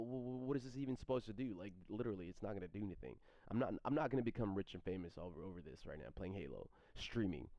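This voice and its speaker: Man, thirties, low voice, halting stream chatter full of restarts; dry, dull home-mic sound. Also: a little mellow, dry recording. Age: 30s. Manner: halting, repeats words and restarts often. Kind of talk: rambling stream chat answering chat questions.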